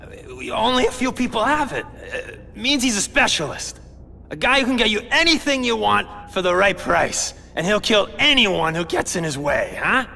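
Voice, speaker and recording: A stammering nervous gang informant. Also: male voice, sits high, very animated, normal speed, vocal fry, sharp tone, big-room sound, slight background noise, exaggerated voice. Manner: stammering, nervous, ends lines with "huh?". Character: nervous male gang informant, shifty and talkative. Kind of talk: video game cutscene acting.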